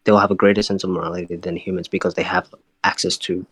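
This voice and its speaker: Low-voiced man asking haltingly. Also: flat delivery, a bit bright, no room sound. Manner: halting, groping for words. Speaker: chat room participant. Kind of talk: online voice chat question.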